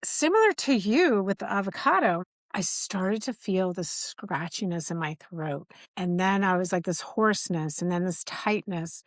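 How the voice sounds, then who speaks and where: A woman's voice: fairly low pitch, animated, normal speed, few pauses, no echo, studio quality. A middle-aged woman hosting a podcast, candidly telling a personal story in casual conversation and acting out quoted dialogue. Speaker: podcast host.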